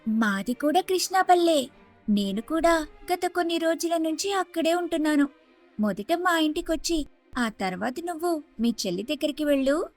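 A sharp-tongued young wife in a Telugu cartoon moral story , voiced by a woman, pitched high. She sounds aggrieved and sarcastic, with faint music behind her. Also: lively intonation, normal speed. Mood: friendly. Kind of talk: animated moral story dubbing.

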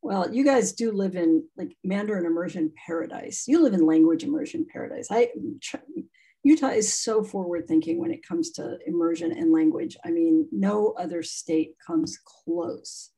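A woman talks in an easy, opinionated way over a video call. Her voice is mellow and slightly husky. She sounds at ease, like someone used to explaining things. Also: fairly low pitch, normal speed, low-quality recording. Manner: chatty, frank, opinionated. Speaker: guest speaker on immersion schooling. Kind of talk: casual video-call talk.

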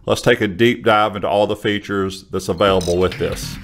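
Male tutorial host, 50s, lowish voice, conversational, close studio mic. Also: fairly even intonation, a little fast, over music. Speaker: software trainer. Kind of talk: casual tutorial to camera. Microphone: large studio condenser microphone on an arm, close.

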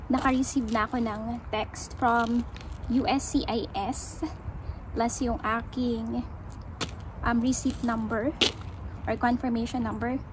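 A woman's voice: on the high side, flat delivery, takes it slowly, noisy background. A woman in her thirties from the Philippines, chatting casually to a phone held at arm's length, with English mixed in and plenty of "um"s. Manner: chatty, mixes English into Tagalog, frequent "so" and "um". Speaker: vlogger. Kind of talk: casual vlog narration. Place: outdoors in a snowy yard, then a car back seat, then a big store.

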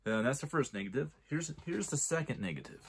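A man's voice: mid-range voice, expressive, fairly quick, gravelly, slight background noise. A man in his forties giving a casual, rambling film review, often restarting his sentences as he argues a point. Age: 40s. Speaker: movie reviewer.

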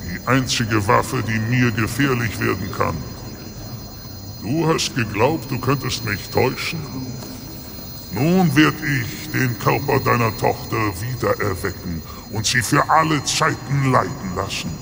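An actor playing a menacing, gloating dragon demon who leads a cult, speaking solemnly and pompously in a radio play. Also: male voice, medium pitch, lively intonation, a little slow, vocal fry, background noise. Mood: gloating, threatening. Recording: long cavernous reverb, music underneath. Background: dramatic music.

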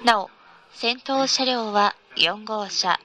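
Bright-voiced woman making formal train announcements. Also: mid-range voice, takes it slowly. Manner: formal, polite. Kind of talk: scripted in-car announcement. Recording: noisy train ambience recording.

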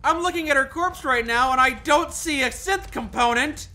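A sarcastic man co-commenting on a game, firing off wisecracks. His voice sits very high for a man and rings bright. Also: moderate pace. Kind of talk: casual gaming commentary.

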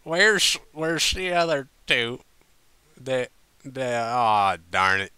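A man's voice: medium pitch, big pitch swings, slow pace, bright, forceful tone, smooth, no room sound, pitched above the performer's own voice, exaggerated voice. A man voicing a drunk, simple female country pony in a cartoon fan story, slurring and stumbling over words. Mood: slurred, confused.

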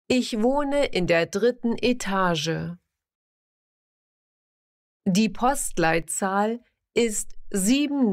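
A woman slowly reading simple example sentences for a language lesson, pronouncing each word carefully and repeating every sentence. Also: mid-range voice. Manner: careful, evenly paced, clearly enunciated.

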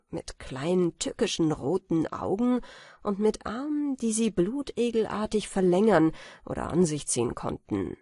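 A storyteller narrates a fairy tale meant for falling asleep. The voice is husky and sits at a middle pitch. The reading is scripted audiobook narration, recorded very dry. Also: a little mellow, no room sound.

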